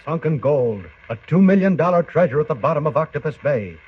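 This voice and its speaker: An actor playing a dramatic serial announcer, narrating in suspenseful 1940s radio style. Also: male voice, fairly high pitch, expressive, a little fast, close to an everyday voice. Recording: noisy, muffled 1940 radio recording.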